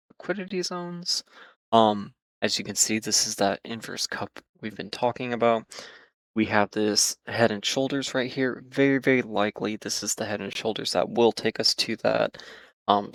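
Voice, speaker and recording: A man with a low, gravelly voice talks through market charts in a loose, casual way. He sounds hoarse and keeps filling pauses with "um" and "you know". The sound is dry and close to the microphone, with no room around it. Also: a bit bright, no echo, poor audio. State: hoarse, losing his voice. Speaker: market analysis host. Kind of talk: casual trading chart commentary.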